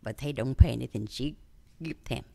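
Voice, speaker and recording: An older woman from Vietnam with a Vietnamese accent, telling her story in an interview in simple, halting English with many fillers. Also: a bass voice, gravelly, mellow, a little hiss or hum. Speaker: interview guest. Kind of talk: conversational interview.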